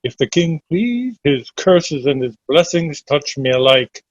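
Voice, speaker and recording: A man reading Shakespeare verse drama aloud as an amateur, in a measured, deliberate way over a dull online call. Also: fairly high pitch, very animated, dry recording.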